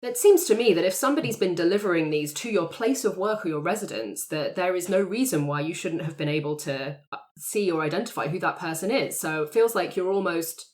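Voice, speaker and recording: A woman plays a rookie female private eye in an improvised audio roleplay. Her voice is low, and she is suspicious and sure of herself. She presses with question after question. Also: animated, a little fast, darkish tone, natural voice. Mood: suspicious, pressing. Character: probing, suspicious, self-assured rookie female private investigator. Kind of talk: improvised tabletop roleplay acting.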